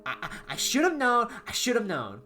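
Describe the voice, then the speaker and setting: A woman's voice: on the high side, huge pitch swings, fairly quick, bright, forceful tone, soft background music, pitched above the performer's own voice, exaggerated voice. A woman voicing a paranoid, lonely man writing a journal in a horror story, stammering and anxious in a confessional way. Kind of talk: first-person horror story reading.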